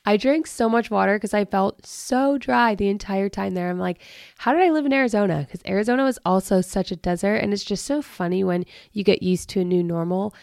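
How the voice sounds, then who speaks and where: A young woman hosting her own podcast in upbeat, chatty talk, her voice a bit lower and rougher after allergies early in the morning. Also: a little fast, a little mellow, no echo, clean studio sound. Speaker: podcast host. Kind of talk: casual solo podcast talk.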